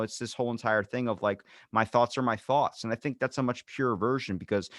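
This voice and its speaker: A young man hosting a podcast, talking bluntly and with some swearing, dropping to a low mutter and then rising when he gets worked up. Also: no room sound, studio quality. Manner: blunt, profane, swings from low mutter to excited. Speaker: podcast host. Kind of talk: casual interview chat.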